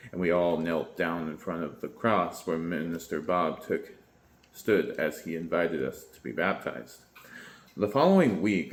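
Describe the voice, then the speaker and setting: Deep-voiced man reading aloud, echoey room. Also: slight background noise. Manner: steady read-aloud, stumbling and correcting himself mid-line. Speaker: YouTuber reading a book aloud. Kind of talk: reading a book aloud, then casual commentary.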